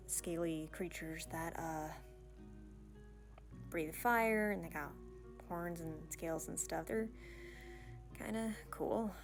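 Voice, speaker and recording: A woman's voice: lowish voice, gravelly. A woman playing an awkward, bashful young princess in a fantasy audio roleplay, hesitant and stammering, rambling in casual modern phrases. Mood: shy, enthusiastic. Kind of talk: ASMR romantic fantasy audio roleplay, one-sided dialogue.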